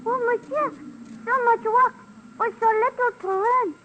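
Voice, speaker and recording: A woman's voice: very high voice, fairly even intonation, normal speed, fairly bright tone, muffled recording, a little hiss or hum. A woman playing an eager, curious young schoolboy in a radio drama. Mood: disappointed. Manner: wide-eyed, questioning.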